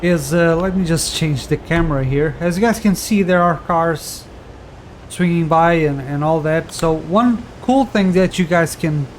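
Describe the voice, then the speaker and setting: Man, Portuguese native speaker, slightly high pitch, casual tutorial, lots of ums and restarts. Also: measured pace, slight background noise. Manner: relaxed, rambling, frequent fillers and restarts. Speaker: tutorial host. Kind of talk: casual screen-recorded game tutorial.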